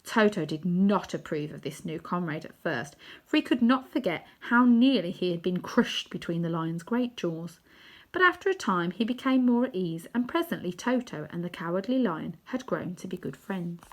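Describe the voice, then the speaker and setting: A woman narrating a children's storybook aloud in an expressive read-aloud style. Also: lowish voice, normal speed. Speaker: storybook reader.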